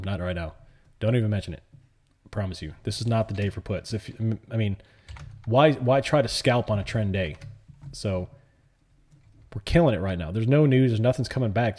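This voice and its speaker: A man's voice: lowish voice, a little mellow. A man hosting a live trading stream, talking in a loose, rambling way as he answers viewers' questions. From born in New York City, raised in the US South. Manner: rambling, conversational, answers chat questions as they come in. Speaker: livestream host and options trader. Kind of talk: casual live-stream chat.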